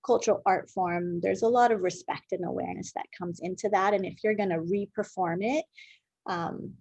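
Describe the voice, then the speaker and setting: American woman with a creaky voice. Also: mid-range voice, steady tone, normal speed, darkish tone, dry recording, dull audio. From Southern California, United States. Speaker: interviewed guest, dance artist and educator. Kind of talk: conversational interview, reflective explaining.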